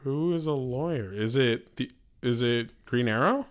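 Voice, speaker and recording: Male guest, mid pitch, smooth tone, hesitant and jokey. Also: a little slow, no room sound, clean studio sound. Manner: hesitant, jokey guessing with fillers. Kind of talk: casual chat.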